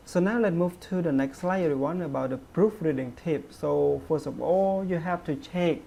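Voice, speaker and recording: Teacher lady, low gravelly voice, slow, faint hiss behind. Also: dark tone. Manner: slow, repeats okay and everyone often, refers to herself as teacher. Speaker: English teacher. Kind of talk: online grammar lesson.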